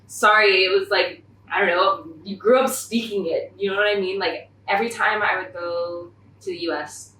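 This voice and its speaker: A woman's voice: medium pitch, normal speed, hardly any pauses, slight background noise. A young woman from Thailand, a podcast guest chatting casually and acting out the remarks people make to her. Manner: chatty, animated, acts out quoted remarks. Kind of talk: casual conversation. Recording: roomy sound from a distant mic.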